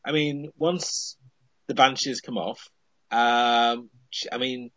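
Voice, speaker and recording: A man's voice: mid-range voice, fairly even intonation, slow, a bit bright, dry recording, dull audio. A man on a podcast, casually retelling a television episode with frequent "I mean" fillers.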